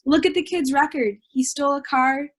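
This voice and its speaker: A woman's voice: high-pitched, moderate pace, close to an everyday voice. A woman playing an impatient, sarcastic, dismissive juror in an amateur audio drama.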